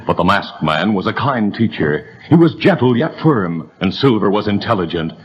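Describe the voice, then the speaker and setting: A man's voice: fairly low pitch, big pitch swings, normal speed, highs cut off. A man narrating a 1930s radio adventure drama with a vivid, building delivery.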